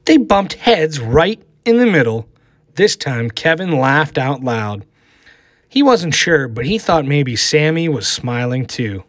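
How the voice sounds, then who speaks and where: A man teaches and reads aloud for children. His voice sits a little high and moves with lively swings. It carries a long echo from the room. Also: takes it slowly. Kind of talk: animated read-aloud and lesson for children. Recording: echoey room with long reverb.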